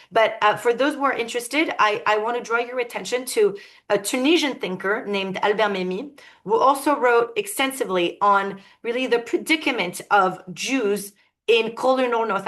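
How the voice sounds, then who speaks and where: A woman giving an academic lecture, thoughtful and often pausing to search for her words. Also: medium pitch, a bit bright.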